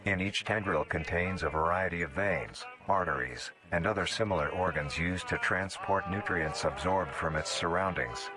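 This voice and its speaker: A man reading scripted educational narration in a measured, formal, clinical manner. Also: deep voice, over music. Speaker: documentary narrator.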